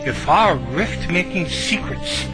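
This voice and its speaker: A man's voice: fairly high pitch, lively intonation, takes it slowly, loud music behind the voice. A man playing an aged, courteous foreign magician with a formal manner in a fan radio play. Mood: deferential. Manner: formal, deferential.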